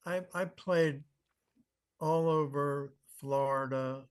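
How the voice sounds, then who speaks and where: Slow, reflective man in his sixties. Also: on the high side, steady tone, clear, resonant tone. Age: 60s. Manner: measured, reflective storytelling. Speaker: guest. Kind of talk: casual interview conversation.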